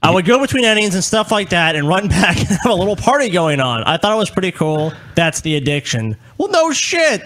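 A man's voice: high voice, sing-song, fairly quick, few pauses, fairly bright tone, a little hiss or hum. A man co-hosting a podcast with casual comedic banter, swearing freely and sounding incredulous as he reads news aloud. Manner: profane, incredulous. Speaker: podcast co-host.

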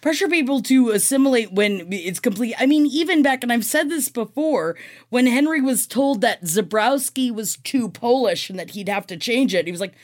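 A woman co-hosting a podcast in casual comedic chat, animated, joking and given to exclamations. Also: on the high side, normal speed. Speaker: podcast co-host.

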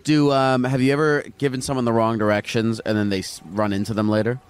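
A male host chats with his guest in a quick, brisk way, firing off curious follow-up questions. His voice sits at a middle pitch for a man, and he laughs easily along the way. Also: little pitch movement, clean tone. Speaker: podcast host. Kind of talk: casual interview chat.

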